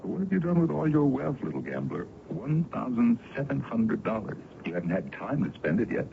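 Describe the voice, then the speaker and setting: An actor playing an older colonel and ardent, worldly suitor in an old-time radio drama, with a courtly, theatrical delivery. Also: male voice, medium pitch, sing-song, brisk, gravelly. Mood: teasing, courtly. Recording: dull, noisy vintage radio recording.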